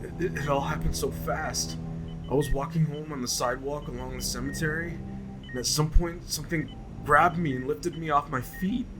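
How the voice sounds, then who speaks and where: A man's voice: on the high side, steady tone, normal speed, over music, lightly acted. A man playing a terrified, hysterical hospital patient in a horror audio drama, stammering and frantic. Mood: shaken, recounting fearfully. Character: terrified, hysterical male patient who escaped a monster.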